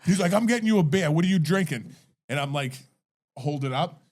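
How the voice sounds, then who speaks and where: A man's voice: a little high, expressive, a little fast, darkish tone. Man telling a bar story on a podcast. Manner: storytelling, acts out quotes. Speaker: podcast co-host. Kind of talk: casual chat, anecdotes.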